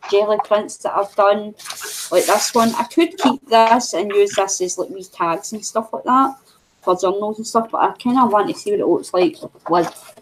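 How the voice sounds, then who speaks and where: A woman's voice: mid-range voice, moderate pace, noisy background. A woman from New Zealand in casual, rambling craft chat, full of fillers. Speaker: craft channel co-host.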